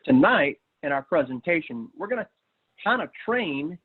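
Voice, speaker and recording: A man's voice: on the high side, big pitch swings, moderate pace, slightly rough. A man hosting a webinar, delivering a structured safety briefing over an online call. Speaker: webinar host introducing a guest. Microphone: online video call. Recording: thin, phone-like webinar connection.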